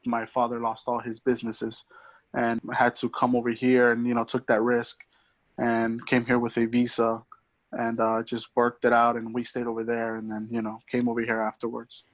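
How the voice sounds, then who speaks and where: A young man from Mexico, a podcast guest, talking in a casual, hesitant way with many pauses and restarts. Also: mid-range voice, monotone. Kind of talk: casual conversation.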